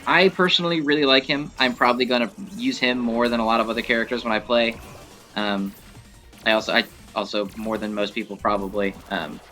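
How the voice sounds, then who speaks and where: Male gaming creator, mid-pitched voice, casual rambling fan commentary with mild swearing, game music underneath. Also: fairly even intonation, a little fast, sharp tone. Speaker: gaming video creator and host. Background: video game music.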